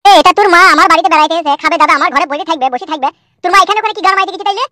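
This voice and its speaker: Someone acting a grumbling, suspicious cartoon husband. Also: female voice, extremely high pitch, racing, sharp tone. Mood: sarcastic. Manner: grumbling, sarcastic. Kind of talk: comic cartoon dialogue.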